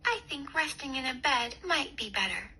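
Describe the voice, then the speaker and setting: A woman voicing a curious, fussy little girl in a storybook, with expressive, drawn-out exclamations. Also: high voice, slightly rough, pitched above the performer's own voice, heavily acted. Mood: dismayed.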